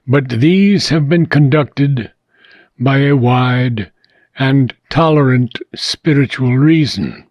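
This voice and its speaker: A man reading a philosophical book aloud, in deliberate, measured phrases with frequent pauses. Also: mid-range voice, no echo, lo-fi sound. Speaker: audiobook reader.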